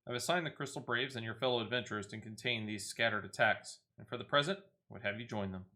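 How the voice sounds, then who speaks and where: A man's voice: medium pitch, fast, pushed and bright. A man giving casual gameplay commentary, partly muttering to himself and partly reading quest text aloud as he plays. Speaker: gaming video host.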